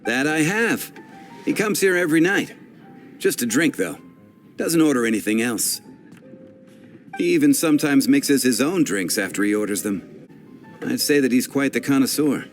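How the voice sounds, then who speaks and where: A man acts a fantasy game barkeeper with a medium-pitched voice. He is courteous and matter-of-fact, serving customers without fuss. Faint music plays underneath. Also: animated, normal speed, pauses often, close to an everyday voice. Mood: matter-of-fact, polite. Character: unhurried, matter-of-fact male tavern bartender. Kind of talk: video game voice acting.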